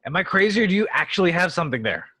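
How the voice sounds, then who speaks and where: A man's voice: sits high, big pitch swings, racing, pushed and bright, husky, no echo, exaggerated voice. A man playing a brash, commanding heroic leading man in a table read, urgent and earnest in his delivery. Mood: exasperated.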